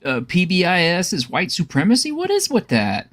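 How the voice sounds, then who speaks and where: A man's voice: high-pitched, wildly swooping pitch, normal speed, a bit bright. A man hosting a livestream panel, casual and joking, swearing freely. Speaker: host.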